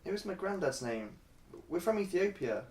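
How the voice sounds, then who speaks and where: Distant, high-pitched man as earnest schoolboy. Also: big pitch swings, moderate pace, a little mellow. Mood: polite, insistent. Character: earnest new schoolboy from Ethiopia, correcting others. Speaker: actor in a radio drama. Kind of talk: radio drama dialogue. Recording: echoey, distant mic.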